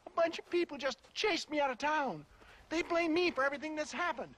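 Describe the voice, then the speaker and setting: A man's voice: extremely high pitch, a little fast, a bit bright, a little hiss or hum. An actor playing a flamboyant, theatrical older con-man selling health tonics, with a chuckling, wheedling manner. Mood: theatrical scheming. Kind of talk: video game cutscene acting.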